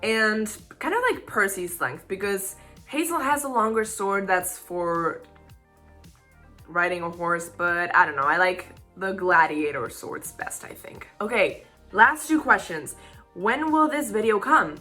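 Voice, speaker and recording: A woman's voice: mid-range voice, animated, measured pace, bright, forceful tone, faint music behind. A young woman from Spain, a native Spanish speaker, hosting a casual Q&A vlog in English, rambling and laughing often. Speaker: YouTube channel host.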